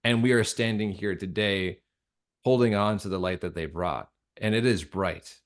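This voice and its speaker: A man speaking earnestly and haltingly in a conversational interview, repeating phrases for emphasis. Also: lowish voice. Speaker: interview guest, radio drama creator.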